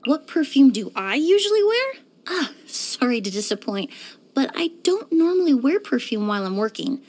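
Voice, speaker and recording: A young woman game character, a composed perfumer. Her voice sits high, and she speaks with measured courtesy. The delivery is scripted, softly formal and even-tempered. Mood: courteous, inquisitive.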